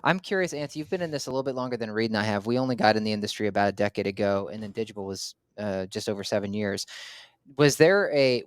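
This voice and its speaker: Podcast host guy, clear smooth voice, dry close mic, curious easy questions. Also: medium pitch, no room sound. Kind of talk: interview hosting.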